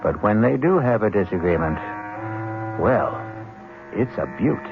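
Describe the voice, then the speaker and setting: A man hosts an old radio mystery in a low, gravelly voice. His dramatic, wry narration rises and falls widely. Music plays behind him on a dull broadcast. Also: very animated, measured pace.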